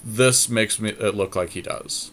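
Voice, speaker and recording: Low-voiced man talking strategy over background noise. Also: unhurried, fairly bright tone. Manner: thinking aloud, deliberating. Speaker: podcast host commentating a card game. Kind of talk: casual strategy commentary while playing.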